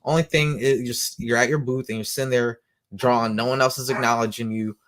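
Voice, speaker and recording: A man's voice: mid-range voice, steady tone, brisk, no echo. Man, casual slangy monologue, dry recording. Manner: slangy, rambling, lots of "you know what I'm saying"; says he has a loud voice. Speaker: comic book show host.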